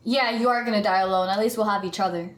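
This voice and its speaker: A woman's voice: medium pitch, quick pace, noisy background. A woman bantering casually and playfully as a player in a tabletop game.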